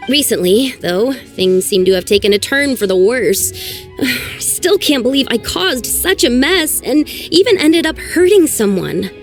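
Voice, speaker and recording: A woman's voice: fairly high pitch, expressive, a little slow, soft background music. A woman voicing an earnest young woman archaeologist who talks anxiously and sighs over her troubles. It is game dialogue. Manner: earnest and sighing. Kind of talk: game voice acting.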